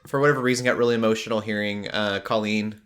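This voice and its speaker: A man's voice: lowish voice, steady tone, quick pace, a bit bright. A young adult man hosting a casual conversation about movies. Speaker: podcast host.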